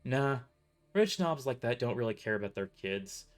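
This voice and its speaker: A man's voice: mid-range voice, animated, moderate pace. Man narrating a story, stumbling as he reads. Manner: stumbles and restarts sentences while reading. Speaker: author narrating his novel. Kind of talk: first-person audio story narration.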